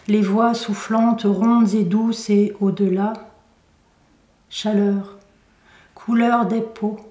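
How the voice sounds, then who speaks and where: Slow, echoing woman reciting poetry. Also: mid-range voice, dark tone. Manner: measured, deliberate phrasing with pauses. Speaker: poet reading own work. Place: indoor room at a poetry venue. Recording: roomy echo, recorded from a distance.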